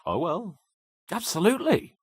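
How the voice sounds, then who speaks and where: A man's voice: high-pitched, sing-song, normal speed, slightly rough, no room sound, studio quality, exaggerated voice. An actor playing a cocky young medieval nobleman in a video game, haughty and playful with a theatrical manner. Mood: breezy, teasing. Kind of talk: video game character dialogue.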